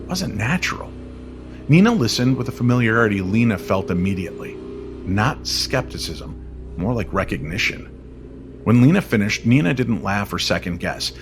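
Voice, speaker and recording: A man narrating an eerie scripted story in an old-time radio style, measured and suspenseful. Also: mid-range voice.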